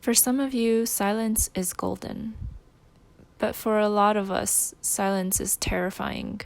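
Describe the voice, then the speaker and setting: A woman leading a guided meditation, giving gentle instructions in short phrases with pauses between them. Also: medium pitch, fairly even intonation, dry recording.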